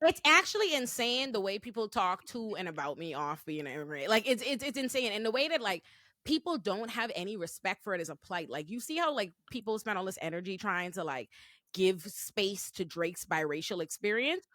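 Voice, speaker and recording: A young Bahamian-accented woman hosts a casual panel chat, speaking with emphatic, animated energy and frequent restarts. Her voice is bright and forward, and it sits close and dry on the mic. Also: medium pitch, a little fast, dry recording. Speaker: host.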